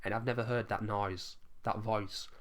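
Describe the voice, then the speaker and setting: Hoarse man ranking favourite albums. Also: fairly low pitch, fairly even intonation, moderate pace. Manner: enthusiastic, chatty, swears casually. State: losing his voice, coughing. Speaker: music vlogger ranking albums. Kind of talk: casual spoken album countdown.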